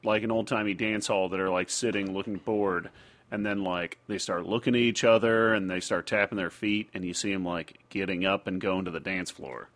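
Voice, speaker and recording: A man co-hosting a casual comedy podcast, explaining things in a halting, mock-scholarly way. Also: deep voice, fairly even intonation, a bit bright. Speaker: podcast co-host.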